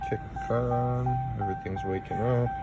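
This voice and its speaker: A man's voice: mid-range voice, fairly even intonation, a little fast, muffled recording, over loud music. A man talking casually and plainly as he gives a hands-on tutorial as a car audio installer.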